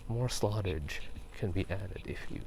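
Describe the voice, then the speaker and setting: A man talking softly in a casual, rambling ASMR style, often slipping into a breathy whisper. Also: low voice. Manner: hushed, halting, unhurried. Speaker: ASMR video host. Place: outdoors at night, walking near a park. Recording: clearly noisy outdoor ambient sound. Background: outdoor ambience.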